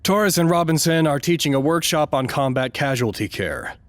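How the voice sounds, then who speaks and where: An actor playing a formal, precise male staffer who narrates a log in a measured, wry, dryly curious way, as in an audio drama. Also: on the high side, animated, no echo, natural voice. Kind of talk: scripted audio drama monologue.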